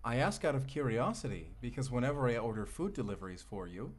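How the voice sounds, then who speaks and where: A man's voice: mid-range voice, sing-song, fast, husky. A man playing a devoted, lovestruck AI companion in a romantic audio roleplay, tender, articulate and formally polite as he speaks intimately to the listener. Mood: curious.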